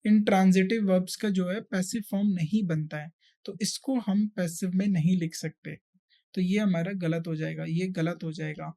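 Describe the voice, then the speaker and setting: A woman's voice: on the low side, fairly even intonation, normal speed, warm tone. A woman with an Indian accent teaching a grammar lesson step by step, repeating points and checking in with short questions. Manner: repetitive step-by-step explaining, frequent check questions. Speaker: exam-prep English grammar teacher. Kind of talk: explanatory lesson delivery, mixing Hindi and English.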